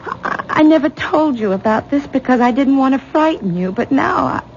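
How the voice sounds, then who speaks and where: A woman playing an anxious, exasperated wife in a 1970s radio drama, halting and repeating words as she insists. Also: high-pitched, animated. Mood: earnest, uneasy. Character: anxious, exasperated wife and young mother, adult daughter. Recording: dull, noisy 1970s radio broadcast recording.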